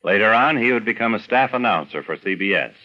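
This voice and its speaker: A man's voice: on the low side, expressive, fast talker. An American man reading scripted radio documentary narration as the narrator. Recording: dull vintage radio recording.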